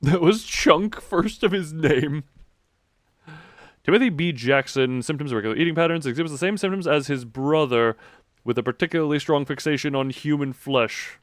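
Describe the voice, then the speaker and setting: A man's voice: a little high, normal speed, no room sound, studio quality. A man giving casual, rambling live commentary as he plays a video game, with jokey exclamatory asides. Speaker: live streamer playing a horror game.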